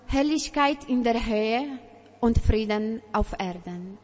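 A woman from Poland reads a Christmas story aloud in a gentle, unhurried way. Her voice sits high, and every word rings through an echoey hall. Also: expressive. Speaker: church reader.

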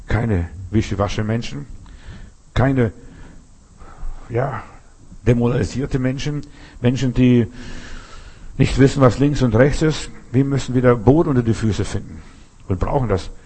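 A man delivers a sermon in a dark, mellow voice with a husky grain. He talks emphatically and leans on rhetorical questions. He often breaks off and restarts his sentences. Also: medium pitch, measured pace, long pauses. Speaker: preacher.

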